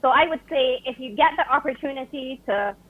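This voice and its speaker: A Barbadian woman, an author, chatting in a call-in interview, relaxed and quick to laugh. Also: high-pitched, husky. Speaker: guest author. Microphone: telephone. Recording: phone line.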